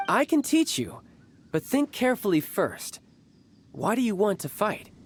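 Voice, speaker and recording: A man's voice: high voice, very animated, measured pace, slight background noise, exaggerated voice. A man voicing a playful, battle-hungry young military commander in game dialogue, confident and teasing. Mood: earnest. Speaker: Harbinger commanding recruits.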